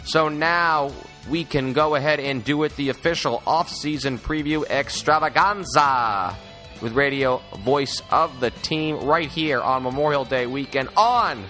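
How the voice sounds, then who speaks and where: A man's voice: on the high side, measured pace, sharp tone, faint music behind. A man hosting a sports podcast, with an emphatic, salesy radio-style delivery. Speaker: podcast host.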